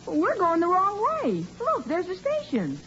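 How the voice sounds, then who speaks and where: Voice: very high voice, wildly swooping pitch, moderate pace, fairly bright tone, exaggerated voice. A performer playing a blunt, wisecracking young son with gee-whiz boyishness, in 1940s radio comedy style. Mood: cheeky, curious. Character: cheeky, skeptical schoolboy son in a sitcom. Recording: noisy, dull old radio recording.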